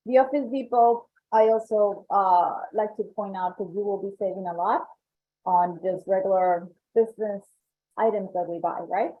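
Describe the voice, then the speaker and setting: A woman's voice: medium pitch, moderate pace, a little hiss or hum. Colombian-accented woman on a tinny call. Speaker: membership coordinator. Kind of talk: presenting member benefits on a webinar. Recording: tinny phone-like call audio.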